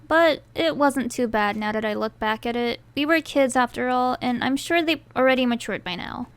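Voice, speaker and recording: A woman's voice: a little high, fairly bright tone, no echo. A young woman from the United States, whose first language is Thai, narrating her own story in a chatty, self-deprecating way. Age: young adult.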